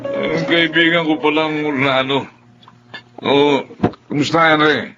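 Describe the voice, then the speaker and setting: A man acting a drunk, belligerent, raspy neighborhood man who slurs and taunts in a Filipino radio drama. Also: on the high side, fairly even intonation, a little slow, over music. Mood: drunken, belligerent. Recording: dull, muffled audio.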